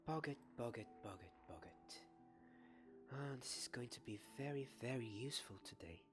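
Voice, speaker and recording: A young man playing a gentle wizard professor in an ASMR roleplay, soft-spoken and often whispering, measured and encouraging. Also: mid-range voice, expressive, music in the background, natural voice. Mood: hushed, intrigued. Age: young adult. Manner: measured, encouraging teacher, repeating words softly. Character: gentle wizard professor teaching a magic class.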